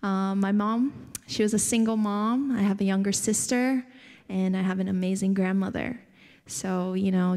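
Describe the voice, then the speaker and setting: A young woman from Hawaii speaks to an audience from a theater stage, relaxed and friendly, laughing lightly between thoughts. Her pitch sits in an ordinary middle range for a woman. The handheld mic picks up a long hall reverb around her. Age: young adult. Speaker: guest speaker. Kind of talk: casual talk to an audience. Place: large theater stage, seated. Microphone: handheld microphone.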